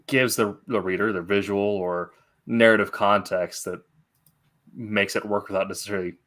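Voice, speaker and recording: Halting, lowish-voiced man hosting an interview. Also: steady tone, fairly bright tone. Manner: halting, long-winded, roundabout questions. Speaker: interview host. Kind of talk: casual interview questions and commentary.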